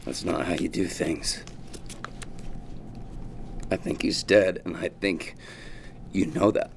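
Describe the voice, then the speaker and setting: A man's voice: sits low, steady tone, slow pace, vocal fry, a little hiss or hum, exaggerated voice. A man acting the part of an exhausted, guilt-ridden young man, subdued and hesitant, in a drama table read. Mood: quietly accusing. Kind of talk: acted script at a table read.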